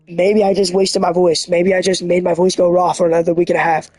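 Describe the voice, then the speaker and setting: Hoarse guy streaming, high voice, super dry sound, rambling all worked up. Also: normal speed, no room sound, faint music behind. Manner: rambling, earnest and excitable. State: hoarse, scratchy voice. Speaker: livestreamer. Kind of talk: casual livestream monologue.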